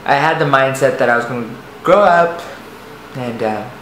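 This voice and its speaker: A young man with a light, soft voice, telling a personal story to the camera, hesitant and rambling with many restarts. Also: mid-range voice, moderate pace. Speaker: vlogger. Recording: echoey room, faint noise.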